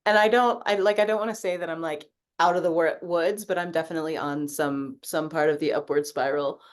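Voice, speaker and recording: A woman's voice: low voice, fairly even intonation, a little fast. A woman sharing in a group coaching video call, talking in a casual, reflective way, full of fillers and easy swearing. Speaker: coaching participant.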